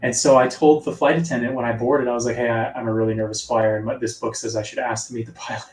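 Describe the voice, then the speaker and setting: Man, sleepy soft delivery, casual chat, echoey room, set back from the mic. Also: medium pitch, flat delivery, quick pace, recorded from a distance, a little hiss or hum. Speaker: author guest. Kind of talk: casual live interview chat.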